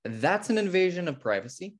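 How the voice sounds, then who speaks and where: A man's voice: high voice, very animated, a little fast. Man playing an uptight, fussy brother. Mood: exasperated. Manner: exasperated, fussy. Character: uptight, fussy, anxious twin brother in his thirties. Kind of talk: comedy script table read. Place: home room on a video call.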